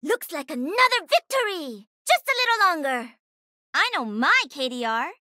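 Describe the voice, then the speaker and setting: An actress playing a cocky, playful young pro-gamer mech pilot in a video game, with peppy, punchy delivery. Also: female voice, sits high, sing-song, moderate pace, pushed and bright, no room sound, clean studio sound, heavily acted. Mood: cocky, combative. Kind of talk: video game character voice lines.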